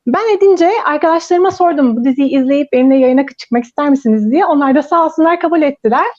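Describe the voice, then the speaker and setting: A woman's voice: high-pitched, quick pace, darkish tone. A woman whose first language is Turkish, hosting a casual online chat and laughing often. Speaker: host. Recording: dull lo-fi sound.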